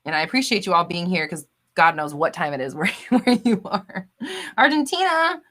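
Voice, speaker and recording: Host type: upbeat woman, animated pitch, bright and chatty, reads comments live. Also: moderate pace. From United States. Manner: bubbly, laughs often, reads out viewer comments. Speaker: livestream host. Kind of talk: casual live chat reading comments.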